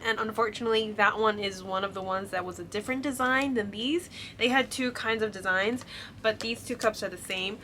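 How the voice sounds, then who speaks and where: A woman's voice: medium pitch, bright, forceful tone, slight background noise. A young woman chatting casually to camera, her voice stuffy and congested from a cold, filling her talk with ums and likes. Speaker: vlogger showing a shopping haul.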